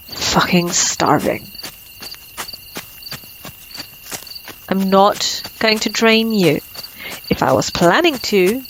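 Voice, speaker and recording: A woman's voice: mid-range voice, a little hiss or hum, lightly acted, rough recording. Woman acting a teasing female vampire, night insects behind. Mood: menacing, flirtatious. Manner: teasing, sardonic, taunting. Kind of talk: ASMR roleplay acting.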